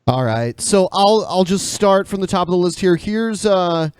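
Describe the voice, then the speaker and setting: Lively, higher-pitched male co-host. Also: moderate pace, clean tone, no echo. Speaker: podcast co-host reading questions. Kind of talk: casual chat.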